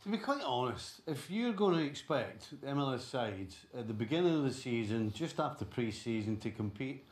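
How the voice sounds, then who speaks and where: Football pundit, man, blunt, lively up-and-down voice, roomy studio. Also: medium pitch, normal speed. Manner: blunt and emphatic. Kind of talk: sports panel discussion.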